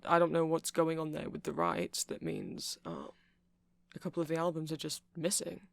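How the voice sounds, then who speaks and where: A man playing a nerdy, chatty vampire in an ASMR roleplay, rambling softly, earnestly and hesitantly about his interests. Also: fairly high pitch, sing-song, moderate pace, creaky, no room sound, full character voice.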